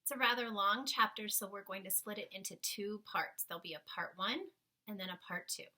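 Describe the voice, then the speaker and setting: A woman reading a storybook aloud as narrator, with dramatic, measured phrasing. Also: medium pitch, fairly even intonation, bright, forceful tone.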